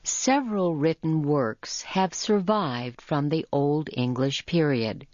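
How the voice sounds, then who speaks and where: A woman reading a scripted educational narration for English learners, with deliberate phrasing and careful enunciation. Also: deep voice, a little mellow, no echo. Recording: muffled lo-fi audio.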